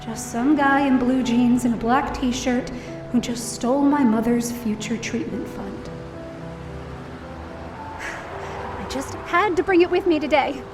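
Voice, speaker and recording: A woman's voice: sits high, takes it slowly, pauses often. An actress playing an anxious young woman who narrates her own story in an audio drama, confiding and self-deprecating. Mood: distraught, defeated. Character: anxious, downcast young woman, daughter of a hospitalized mother. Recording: roomy, distant-sounding voice over music.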